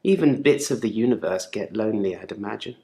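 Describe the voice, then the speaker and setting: A man's voice: lowish voice, lively intonation, fast, gravelly, mellow. A man playing a troubled gravedigger in therapy for a video game, speaking in a guarded, dryly sardonic, articulate way. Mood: wry, reflective. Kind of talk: naturalistic acted monologue in therapy session. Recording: echoey room.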